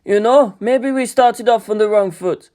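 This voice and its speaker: A man's voice: high voice, a little slow, darkish tone, pitched above the performer's own voice. A man voicing a brash, sneering teenage boy, a blunt rival student in an audiobook. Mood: conciliatory. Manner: blunt, taunting.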